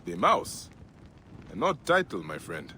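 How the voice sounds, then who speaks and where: An actor playing a grave, determined Egyptian warrior in a video game, measured and stern in manner. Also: male voice, deep voice, huge pitch swings, bright, forceful tone, slight background noise, full character voice. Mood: curious, skeptical.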